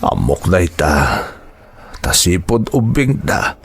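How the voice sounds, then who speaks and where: A man playing an ailing, remorseful old uncle in a family audio drama, with a husky, weary voice and a halting, ashamed manner. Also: low-pitched, sing-song, heavily acted. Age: older.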